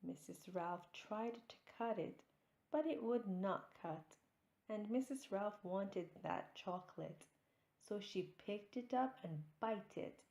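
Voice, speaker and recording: A woman narrates a children's storybook at a slow, careful pace. Her reading is deliberate and expressive. The room adds a noticeable echo around the voice. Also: mid-range voice, vocal fry. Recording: roomy echo.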